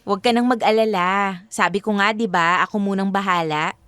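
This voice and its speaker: An actress playing a devoted, generous young girlfriend in a Filipino radio drama, sweet, upbeat and expressive. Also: medium pitch, fairly quick, clean tone, dry recording, close to an everyday voice. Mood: reassuring.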